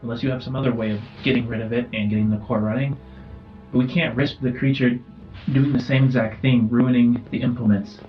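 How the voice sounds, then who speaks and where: A man's voice: mid-range voice, steady tone, fairly quick, muffled recording, lightly acted. Man playing a hesitant, blunt science aide. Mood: uneasy, dry. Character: nervous, blunt doctor and data analyst aide. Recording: music under the voice.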